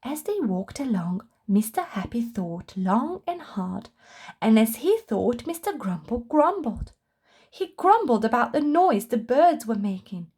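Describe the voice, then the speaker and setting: A woman reading a children's storybook aloud with expressive, deliberate narration for young listeners. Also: on the high side, breathy edge.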